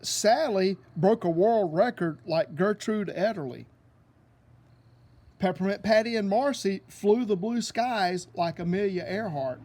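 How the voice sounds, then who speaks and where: A man's voice: high voice, a little slow, a little mellow. A man reading a children's storybook aloud as scripted narration. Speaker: storybook reader.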